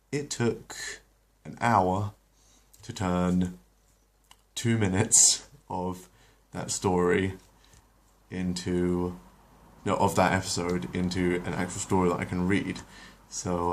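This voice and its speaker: A man hosting his channel, chatting casually with fillers and self-corrections, then reading a story aloud. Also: deep voice. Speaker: channel host and story reader.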